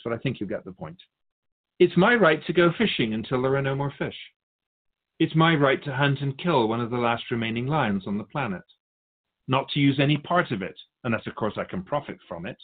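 Wise otherworldly soul guide, played by a man: patient, didactic, measured, gently chiding, expressive pitch movement, for spiritual radio dialogue. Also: slightly rough, narrow, tinny audio. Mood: wry, instructive, then mock self-righteous.

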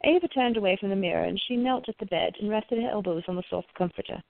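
A woman narrates a scripted radio play. Her medium-pitched voice has plenty of fry. The sound is very dry and close, with no room to it. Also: quick pace, no room sound. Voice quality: creaky. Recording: narrow phone-like, dry sound.

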